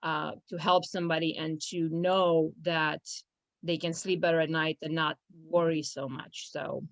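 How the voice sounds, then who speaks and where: Romanian-born woman with low voice. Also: flat delivery, a little slow, no room sound. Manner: hesitant, many fillers and restarts, laughs easily. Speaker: law firm business manager. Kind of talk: casual interview.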